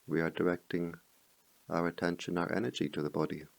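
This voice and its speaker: A man leading a guided meditation as a teacher, speaking in slow, measured phrases with pauses between them. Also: sits low, level tone, vocal fry, no room sound.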